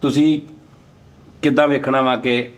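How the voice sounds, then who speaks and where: A man's voice: medium pitch, flat delivery, a little slow. A man with a Punjabi accent hosting a casual comedic chat, arguing insistently. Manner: argumentative, insistent. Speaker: talk show host.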